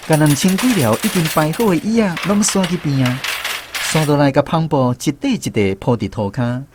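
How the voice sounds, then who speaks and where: A man's voice: fairly high pitch, animated, moderate pace, noisy background, natural voice. A man with a Taiwanese accent playing a reflective troupe director who narrates his memories in a scripted radio drama. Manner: storytelling, reminiscent. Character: reflective male troupe director recalling touring days. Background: music.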